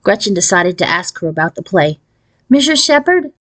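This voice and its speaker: A woman reading a children's novel aloud as a storyteller, in an expressive scripted narration style. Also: lowish voice, a little fast.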